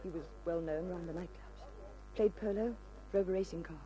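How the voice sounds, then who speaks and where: Woman playing a breathy, dramatic radio femme fatale. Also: on the low side, normal speed, mellow, highs cut off, close to an everyday voice. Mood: anxious. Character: seductive, desperate glamorous female fashion model. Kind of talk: old-time radio crime drama acting.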